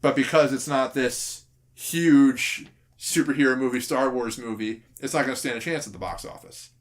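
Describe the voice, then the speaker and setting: A man's voice: medium pitch, moderate pace. Male podcast panelist giving casual opinions.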